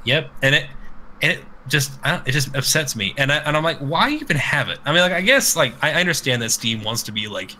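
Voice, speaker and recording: A man's voice: a little high, animated, fast, bright, forceful tone, a little hiss or hum. A man co-hosting a podcast in casual, crude banter, rambling and irreverent, swearing freely. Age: adult with children. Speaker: podcast co-host.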